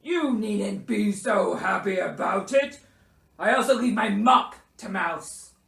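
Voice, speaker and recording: Lady doing a grumpy old-fashioned pompous sick bear guy for a kids story, gruff n loud, drags words out, echoey. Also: medium pitch, animated, exaggerated voice. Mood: grave, grumpy. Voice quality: gruff, growly. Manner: loud, pompous, melodramatic, drawn-out. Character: grumpy, self-pitying sick male bear, melodramatic. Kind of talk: children's storybook character voice. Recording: roomy echo.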